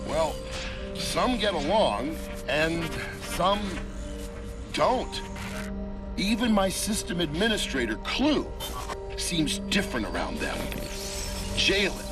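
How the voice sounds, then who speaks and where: A man's voice: on the high side, distant mic. An actor playing a laid-back male creator musing about his digital world in a game cutscene, calling people "man". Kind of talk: video game cutscene narration. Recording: score playing behind.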